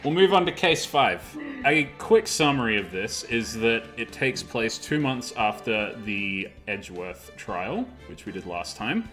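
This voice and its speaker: A man hosting a casual group dubbing stream with jokey, deadpan sarcasm. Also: medium pitch, unhurried, few pauses. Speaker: host of a group live dub stream. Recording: game music behind the voice. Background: game music.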